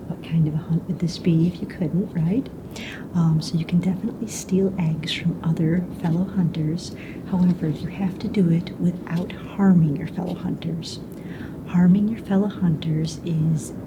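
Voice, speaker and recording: A woman playing a calm, friendly mistress of ceremonies in a fantasy ASMR roleplay, explaining things in a soft, casual, slightly hesitant whisper. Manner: casual, hesitant, explanatory, matter-of-fact about rules and danger. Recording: noisy close recording with low rumble.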